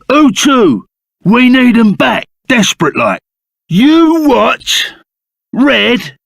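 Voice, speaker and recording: An actor playing a gruff, loyal, blustering Cockney ex-bandit in a video game, full of rough, matey slang. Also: male voice, fairly high pitch, no room sound, studio quality, full character voice. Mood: shocked, desperate. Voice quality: gruff, gravelly. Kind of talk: video game character acting.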